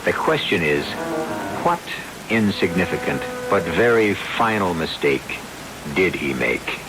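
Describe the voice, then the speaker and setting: A suave male mystery storyteller with a very deep voice. He narrates old-time radio deliberately and ominously, leaving theatrical pauses. Music plays clearly behind him. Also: big pitch swings, low-quality recording. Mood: ominous, knowing. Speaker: host and narrator.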